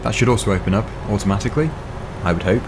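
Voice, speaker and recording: A young man, slightly hoarse, chatting casually through a game while thinking aloud with fillers. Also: fairly low pitch, racing, background noise. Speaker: gaming channel host. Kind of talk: casual gameplay commentary.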